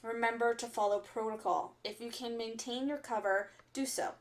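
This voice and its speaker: A woman playing an earnest, stern resistance fighter who delivers solemn, deliberate warnings in a radio drama broadcast. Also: fairly high pitch, fairly even intonation, close to an everyday voice. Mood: stern, instructive. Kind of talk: audio drama acting as underground radio broadcast.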